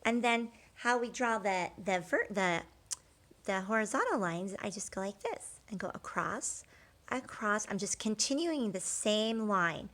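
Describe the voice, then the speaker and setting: A woman's voice: medium pitch, expressive, measured pace, a bit bright. A woman teacher talking children through a drawing step by step, conversational and encouraging, often checking in with short questions.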